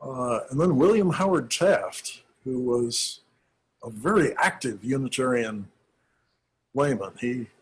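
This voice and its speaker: Husky, slow-speaking minister. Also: male voice, medium pitch, expressive, poor audio. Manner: thoughtful, halting, with many pauses and fillers. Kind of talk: informal church history talk.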